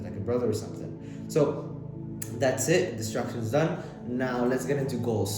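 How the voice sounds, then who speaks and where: A young man from Lebanon whose first language is Arabic, giving casual self-improvement advice to the camera, talking fast with many restarts and fillers. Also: on the low side. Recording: very echoey room with clear background noise.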